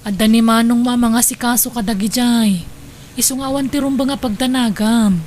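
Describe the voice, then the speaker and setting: A woman playing a caring, steady wife and forgiving stepmother in an audio drama. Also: a little high, normal speed, slight background noise, lightly acted. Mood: gentle, caring. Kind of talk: Ilocano audio drama acting.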